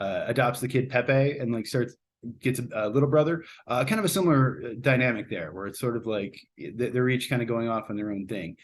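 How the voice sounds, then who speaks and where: A man hosting a casual, unscripted movie review, talking in a halting, loosely conversational way with trailing asides. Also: medium pitch. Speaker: host, film reviewer.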